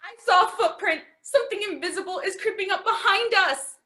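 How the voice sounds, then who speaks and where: Woman acting a perky space scientist, very high, piercing. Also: a little fast, pushed and bright. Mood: alarmed. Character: perky, excitable female space scientist crew member. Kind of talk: campy radio play sci-fi serial acting.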